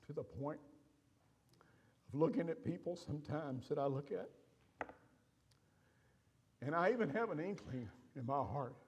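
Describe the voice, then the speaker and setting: An older man preaching from a church stage, telling a personal story with pauses and feeling. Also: fairly high pitch, a little mellow, husky. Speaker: pastor. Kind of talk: sermon storytelling.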